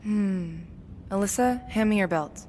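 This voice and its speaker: A woman playing a practical, level-headed young explorer in an audio drama, matter-of-fact and measured, in a reverberant space. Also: medium pitch, a little mellow, close to an everyday voice. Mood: brisk. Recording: long cave-like reverb, faint noise.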